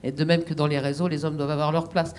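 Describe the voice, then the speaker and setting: A woman's voice: low-pitched, little pitch movement, fast, a little mellow. A woman speaking on a conference panel, hesitant with stammering restarts. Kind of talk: panel discussion.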